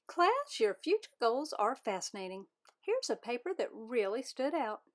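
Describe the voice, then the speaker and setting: A woman voicing a composed, kindly but firm female bear schoolteacher in a storybook, announcing to her class in a measured way. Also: fairly high pitch, very animated.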